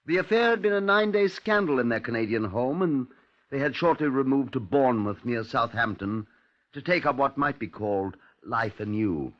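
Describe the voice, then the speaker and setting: An actor playing a measured, wry British chief inspector recounting a case in a radio drama. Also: male voice, mid-range voice, expressive, lightly acted. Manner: measured, storytelling. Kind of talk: 1950s radio drama narration. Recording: dull old radio recording.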